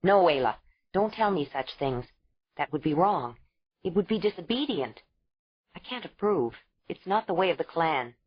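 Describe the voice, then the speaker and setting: A woman's voice: fairly low pitch, very animated, moderate pace, husky. A woman voicing an older clan woman who firmly reasons with and admonishes her adopted daughter. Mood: admonishing. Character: older clan woman, healer and mother figure, stern but grieving. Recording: dull lo-fi audio.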